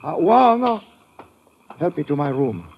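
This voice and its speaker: An actor playing a polite, composed doctor in a 1950s radio drama, speaking formally and haltingly but insistently. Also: male voice, a little high, sing-song. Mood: strained, polite. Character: polite, composed doctor and host, brushing off his wound. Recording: noisy, muffled old radio recording.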